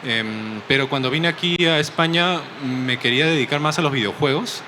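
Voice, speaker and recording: A man's voice: a little high, moderate pace. Peruvian man at a noisy convention. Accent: Peruvian Spanish. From Peru. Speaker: comic artist guest. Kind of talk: casual interview. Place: busy convention hall. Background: crowd chatter of a convention.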